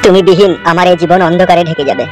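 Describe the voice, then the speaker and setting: A man's voice: sits high, fairly even intonation, very fast, close to an everyday voice. A man voicing a lovesick, jilted young village lover in a melodramatic cartoon, pleading dramatically. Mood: heartbroken. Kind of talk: melodramatic comedy cartoon dubbing. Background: prominent music.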